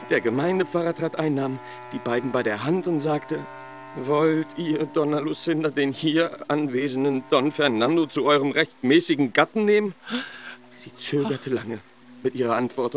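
A man doing a heartbroken young nobleman in a radio drama, impassioned and mournful, telling his tale over faint music in a studio production. His voice sits at a middle pitch and rises sharply when the story turns painful. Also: lightly acted. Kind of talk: radio play acting.

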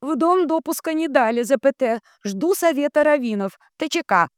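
A woman voicing a hysterical, heavy female psychic medium who wails, bellows and grumbles in drawn-out anguished calls. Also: high-pitched, expressive, no room sound, clean studio sound, pitched above the performer's own voice. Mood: strained, insistent.

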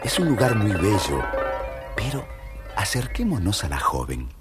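A man's voice: lowish voice, huge pitch swings, a little slow, over loud music. A man narrating a scripted children's fairy tale as storyteller, theatrical, firm and explanatory.

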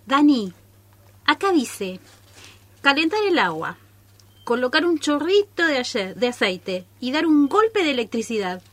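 A woman's voice: high-pitched, big pitch swings, measured pace, a bit bright, no room sound, full character voice. An Argentine woman acting a naive, eager accomplice to a reckless experimenter in a radio play, earnest and reassuring. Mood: earnest, coaxing.

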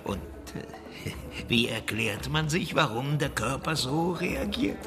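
Slow, enigmatic village medicine man. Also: mid-range voice, animated. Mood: probing. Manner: probing, deliberate, enigmatic. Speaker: actor in a radio play. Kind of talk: German radio drama acting. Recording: music behind the voice. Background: music.

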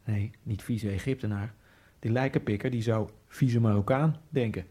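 An actor playing a lonely Egyptian immigrant man in a radio drama, musing in a wry inner monologue that keeps jumping into giddy high outbursts. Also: fairly even intonation, normal speed, dark tone, breathy edge. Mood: brooding. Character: lonely male Egyptian immigrant, brooding, self-mocking. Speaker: narrator and main character.

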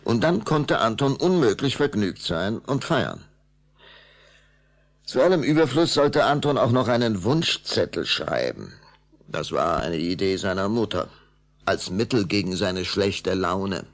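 A man narrating a children's radio play as a measured, dramatic storyteller. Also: on the low side, big pitch swings, creaky, full character voice.

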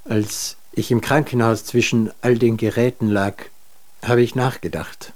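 A man's voice: mid-range voice, steady tone, a little slow, natural voice. Actor playing a weary, stubborn man. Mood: weary, halting. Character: weary, stubborn, self-doubting man back from hospital, groping for words. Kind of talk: German radio drama acting.

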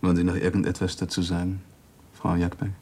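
An actor playing a shrewd, methodical police inspector in a 1960s German radio crime drama, questioning formally and insistently. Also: male voice, sits low, completely flat delivery, quick pace, darkish tone, husky. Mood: stern.

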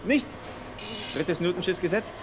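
An actor, chatty and explanatory. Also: male voice, high-pitched, lively intonation, a little slow, music in the background. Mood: explaining. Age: young adult. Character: brisk, casual young male rocket engineer boss. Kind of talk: radio play dialogue. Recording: noisy, with car and machinery ambience.

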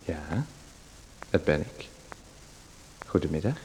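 A man's voice: very deep voice, very animated, takes it slowly, gravelly, darkish tone, breathy edge, full character voice, rough recording. A man playing a meek, polite host in a radio play, who hesitates and gives in to his visitor. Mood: hesitant.

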